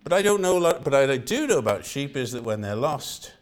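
A man's voice: medium pitch, sing-song, brisk. An older man from Wales with a Welsh accent, preaching a sermon in an earnest style and repeating phrases for emphasis.

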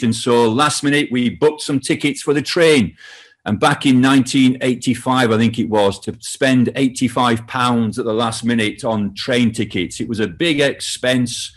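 An older man with a northern English accent preaches a sermon in a relaxed, storytelling way. He pauses often with "uh" as he talks. His voice sits at an ordinary middle pitch for a man. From north of England. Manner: conversational storytelling with frequent "uh" pauses. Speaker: pastor.

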